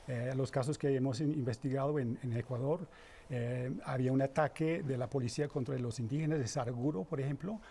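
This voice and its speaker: A man answering as a research guest in an interview, explaining in a halting way as he searches for words. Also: medium pitch, mellow.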